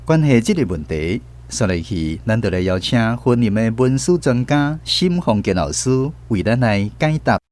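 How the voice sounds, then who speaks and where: A man's voice: fairly low pitch, big pitch swings, normal speed, hardly any pauses, darkish tone. A man with a Taiwanese accent presenting a scripted radio segment, addressing listeners expressively as host.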